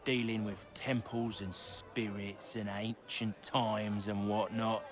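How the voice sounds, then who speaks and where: An actor playing a brash, scrappy young rogue and fixer in a colonial-era video game, slangy and cheeky. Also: male voice, lowish voice, level tone, slow pace. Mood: earnest, obliging. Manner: slangy, cheeky, rough-spoken. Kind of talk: video game cutscene acting.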